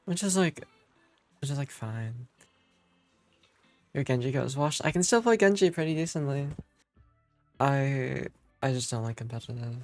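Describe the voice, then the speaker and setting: A man's voice: medium pitch, lively intonation, a little slow, pauses often, darkish tone, no room sound. A man live streaming a game and chatting casually with viewers in a hesitant, rambling way. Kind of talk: casual chat with viewers while gaming. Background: game music.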